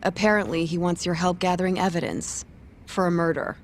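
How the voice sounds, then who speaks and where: An actress performs a reserved woman at a law office in a game drama. She is polite and clipped, with a deadpan tone in a fairly low register. Faint noise sits in the background. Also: brisk, close to an everyday voice. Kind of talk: English video game dub acting.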